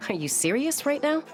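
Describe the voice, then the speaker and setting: A woman's voice: high voice, heavily acted. A woman playing a frank, laid-back adult female ally in a video game, speaking casually. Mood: incredulous. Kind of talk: game voice acting.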